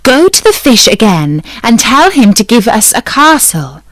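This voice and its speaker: A woman's voice: on the high side, very animated, unhurried, a bit bright, no echo, full character voice. Woman doing a greedy, bossy fisherman's wife. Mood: demanding. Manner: demanding and scolding.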